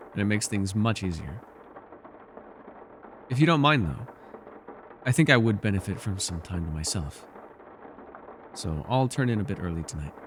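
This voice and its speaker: A man acting as an ancient elf with a low voice, in an intimate fantasy ASMR roleplay. He is wistful and measured, with a gently teasing streak. There is noticeable noise behind the voice. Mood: gentle, polite. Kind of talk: ASMR character roleplay, intimate scripted monologue.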